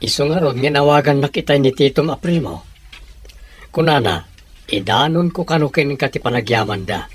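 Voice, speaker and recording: A man's voice: mid-range voice, moderate pace, natural voice. An actor playing a caring middle-aged father in a radio drama, reassuring his daughter, with faint noise behind.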